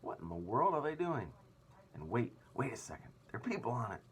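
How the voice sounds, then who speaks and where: An agitated storybook ship's captain, acted out by a man, blustering and stammering in astonishment. The pitch climbs high and swings widely, with loud, incredulous bursts. A light noise sits behind the voice. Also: very animated, brisk. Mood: flabbergasted. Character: agitated, astonished male ship's captain peering through telescope.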